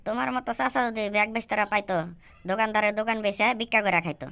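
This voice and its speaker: A woman's voice: medium pitch, racing, vocal fry, fairly bright tone, clean tone. A woman voicing a cheeky, demanding school-age nephew who argues in rhyme in a comic cartoon. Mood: defiant. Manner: cheeky, argumentative, rhyming comebacks. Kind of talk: rhyming comic cartoon dialogue.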